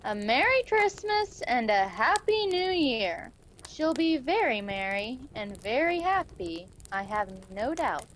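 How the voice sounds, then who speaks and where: A woman's voice: a little high, sing-song, slow pace, fairly bright tone, full character voice. A woman playing a poor, protective wife and mother with emphatic, scornful delivery in a radio play. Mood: bitter. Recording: faintly noisy home recording.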